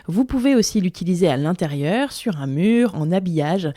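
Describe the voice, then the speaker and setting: A woman's voice: fairly low pitch, lively intonation, moderate pace, mellow, no room sound. A woman hosting a home renovation podcast, reading a scripted ad and episode introduction in an upbeat, enthusiastic way. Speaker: podcast host.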